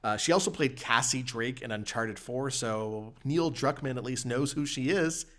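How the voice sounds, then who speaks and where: A man's voice: medium pitch, normal speed, fairly bright tone. A man hosting a podcast, presenting entertainment news and chatting casually about it. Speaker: podcast host. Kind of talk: reading news and discussing games in casual podcast talk.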